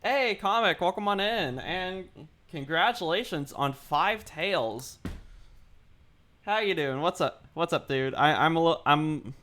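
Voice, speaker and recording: A young man streaming while he draws, chatting casually with his viewers, his throat dry and sore and his voice a little deeper than usual. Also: expressive, unhurried, bright, forceful tone. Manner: rambling, chatty, greets chat, repeats himself. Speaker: art livestreamer. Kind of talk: casual livestream chat.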